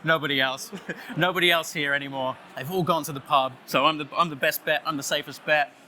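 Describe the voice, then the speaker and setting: Loud, bright Australian man. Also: on the high side, fairly even intonation, a little fast, a little hiss or hum. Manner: jokey, playful, chatty with the crowd. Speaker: conference speaker. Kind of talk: lively conference talk with audience banter. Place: conference stage, audience on headphones.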